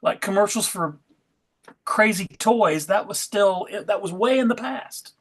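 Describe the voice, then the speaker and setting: A man casually hosting a table read, informal and a bit halting as he talks. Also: sits high, slightly rough.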